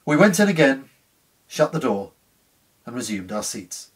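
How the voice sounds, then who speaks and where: A man in his fifties narrates a literary story with measured, earnest expression. His voice sits at a middle pitch. He is recorded at home, a little way from the microphone. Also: big pitch swings, breathy edge. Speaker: actor reading a short story. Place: small living room at home. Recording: slightly distant room microphone.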